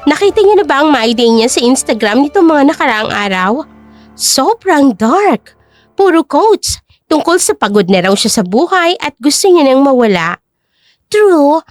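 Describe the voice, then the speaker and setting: Woman putting on a high, catty rich socialite. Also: no room sound, studio quality. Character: wealthy, gossipy socialite woman friend, catty and dramatic. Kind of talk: audio drama acting in Tagalog-English.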